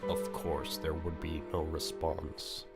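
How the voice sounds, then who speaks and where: A man with a very low voice narrates a visual novel as a dramatic story. He takes his time over each phrase, with prominent music behind him. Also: flat delivery, slow pace. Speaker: video host reading a visual novel aloud.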